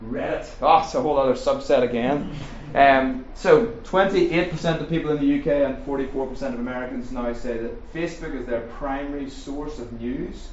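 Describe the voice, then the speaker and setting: Fast-talking Northern Irish man in an echoey room. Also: medium pitch. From Northern Ireland. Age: middle-aged. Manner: fast-talking, jokey, with ums and audience questions. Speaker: speaker at a faith and culture seminar. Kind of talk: informal talk to a live audience. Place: large echoey room with an audience. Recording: roomy, distant, dull sound with faint hiss.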